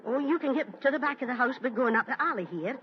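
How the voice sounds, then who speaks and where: An actress voicing a homely, caring Scottish landlady in a 1940s radio play, talking briskly and motherly, with a Scottish accent. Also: sits high, lively intonation. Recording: dull lo-fi old radio recording with faint hiss.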